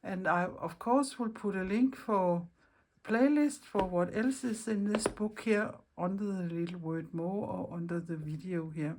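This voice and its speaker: A woman from Denmark with a Danish accent, hosting a casual craft video, talking in a halting, friendly way while she searches for English words. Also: fairly low pitch, background noise. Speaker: craft channel host. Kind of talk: casual craft vlog chat.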